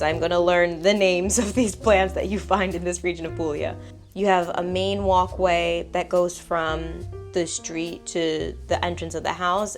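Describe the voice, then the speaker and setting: An American woman with a slightly raspy voice, chatting casually and playfully in slangy vlog narration as she presents a house tour. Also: on the low side. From United States. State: raspy, tired voice.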